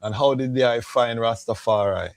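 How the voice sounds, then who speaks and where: A man's voice: mid-range voice, normal speed, gravelly, no echo. Casual male live-show host. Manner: informal, conversational, with frequent fillers.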